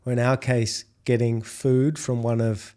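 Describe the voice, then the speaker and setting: A middle-aged Australian man with an Australian accent, answering podcast questions in a measured way and often restarting on repeated words. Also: medium pitch, little pitch movement, mellow. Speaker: podcast guest, food delivery executive. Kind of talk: business interview talk.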